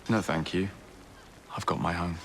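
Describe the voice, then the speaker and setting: An actor playing a cocky, bold young Victorian assassin in a video game, dry and self-assured. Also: male voice, low voice, steady tone, normal speed, fairly bright tone, slight background noise. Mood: cool.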